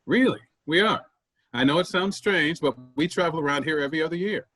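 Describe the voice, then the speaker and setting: A man playing a calm, hospitable travelling actor in a staged play reading, with a reassuring, plain manner. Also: a little high, fairly quick, no room sound, soft background music. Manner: reassuring, friendly, plain-spoken.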